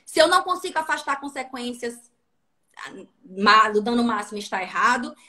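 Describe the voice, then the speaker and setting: Bright-voiced woman with a Sergipe accent. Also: on the high side, normal speed. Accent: Sergipe, northeastern Brazilian. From Sergipe, Brazil. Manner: animated, instructive, checks in with "tá?". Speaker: guest labor inspector. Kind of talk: livestream teaching talk.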